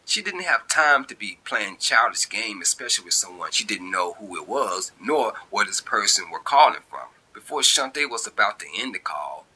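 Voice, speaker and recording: A man's voice: low voice, sharp tone, slightly rough, poor audio. A man narrating his own short story as an amateur reader.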